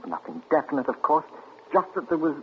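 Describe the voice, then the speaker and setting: A man's voice: a little high, sing-song, a little fast, breathy edge, exaggerated voice. An actor playing an anxious young man who speaks in hesitant, earnest bursts, in 1940s radio acting style. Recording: muffled 1940s radio broadcast.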